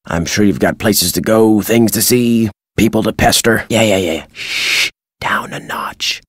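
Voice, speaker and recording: Husky, crotchety old American birdwatcher. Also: male voice, lowish voice, measured pace, fairly bright tone, no room sound. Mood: crotchety, gruff. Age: older. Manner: folksy, curmudgeonly, dry. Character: crotchety retired male birdwatcher, folksy and gruff. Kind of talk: video game character dialogue.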